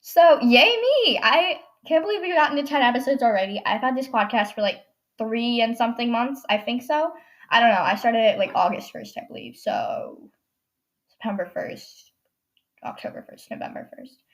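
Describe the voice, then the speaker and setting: A woman's voice: a little high, expressive, moderate pace. A teenage girl hosting a podcast, telling a story in a rambling way with lots of fillers and self-corrections, her nose stuffed up. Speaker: podcast host. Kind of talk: casual storytelling monologue.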